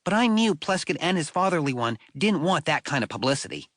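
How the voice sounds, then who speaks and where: A man playing a wry, self-deprecating sixth-grade boy, telling his story in a conversational, expressive way for an audiobook. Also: on the high side, brisk, no echo, clean studio sound. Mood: worried, reflective. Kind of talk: first-person audiobook storytelling.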